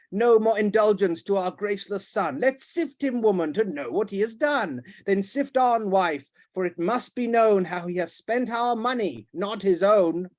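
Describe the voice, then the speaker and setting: A man voicing a pompous, ranting old male duke who shouts and blusters. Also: a little high.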